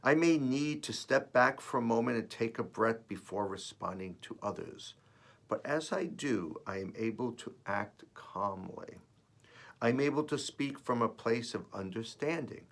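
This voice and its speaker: A man with a raspy, slightly under-the-weather voice, reading and reflecting as host of an earnest spiritual message, with deliberate pauses. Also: on the low side, lively intonation. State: voice rough while recovering from feeling unwell. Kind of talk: devotional reading and personal reflection.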